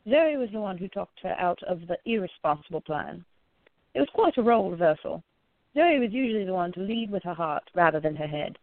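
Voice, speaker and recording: Female narrator for an audio play, scripted storytelling, mid pitch, close and dry through a phone-like band. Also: lively intonation, a little fast, dry recording. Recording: narrow phone-like sound.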